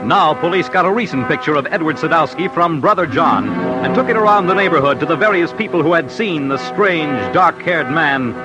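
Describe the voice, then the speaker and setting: A man's voice: fairly high pitch, animated, normal speed, low-quality recording. A man with an American accent narrating a true-crime story in dramatic 1940s radio style, grave and emphatic. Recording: old radio broadcast with music bed.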